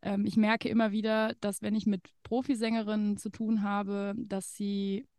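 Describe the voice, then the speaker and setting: A woman hosts a casual podcast interview, talking freely and laughing often, with plenty of fillers between her thoughts. Her voice sits in a middle pitch. The recording is dry and close, with no room around it. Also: level tone, moderate pace, darkish tone, no echo. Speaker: podcast host.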